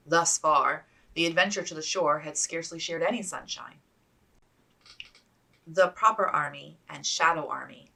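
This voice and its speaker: A woman's voice: low voice, creaky, bright, forceful tone, distant mic, rough recording. A woman reading scripted fiction aloud as an author narrating a period novel.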